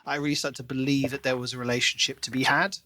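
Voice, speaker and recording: A man's voice: medium pitch, little pitch movement, fast, pushed and bright. A man co-hosting a podcast, talking casually and analytically through a book as he thinks aloud. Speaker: podcast co-host.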